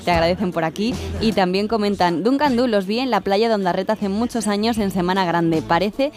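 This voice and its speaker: A woman co-hosting a radio show, reading listener messages briskly. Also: mid-range voice, darkish tone. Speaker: radio co-host.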